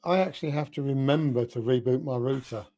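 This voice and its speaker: A friendly man joins a stream chat. His voice is mid-pitched and warm, and he talks easily with the others. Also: brisk. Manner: friendly, says "mate". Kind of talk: casual chat.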